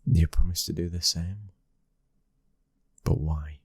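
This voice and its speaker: Casting: male actor as devoted young British mafia son, very low husky voice, tender and protective, ASMR boyfriend roleplay. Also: steady tone, slow, dry recording, clean studio sound, full character voice. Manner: soft, breathy, often near-whispered, tender.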